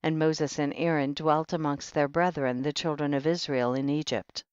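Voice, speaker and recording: A man's voice: on the high side, steady tone, clear, resonant tone, no room sound. Solemn man reading scripture, dull dry recording. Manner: solemn, measured reading with archaic diction. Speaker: audiobook reader.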